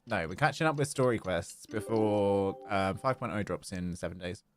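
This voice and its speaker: A man's voice: fairly low pitch, normal speed. A man giving casual live gaming commentary, muttering asides to himself and to his chat. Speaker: video game livestreamer. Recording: faint game music behind.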